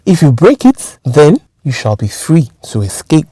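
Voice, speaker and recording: A woman's voice: low voice, big pitch swings, a little slow, dark tone, husky, dry recording, full character voice. A woman voicing a solemn, remorseful man who was turned into a monkey, in a spoken folktale. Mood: urgent. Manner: solemn, earnest pleading.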